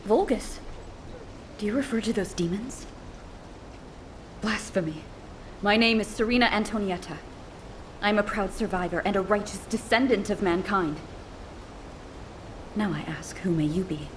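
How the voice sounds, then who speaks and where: A woman's voice: medium pitch, moderate pace, long pauses, noisy background, close to an everyday voice. A woman playing a proud, pious warrior survivor in a video game, with formal, haughty, archaic phrasing. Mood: proud, wary.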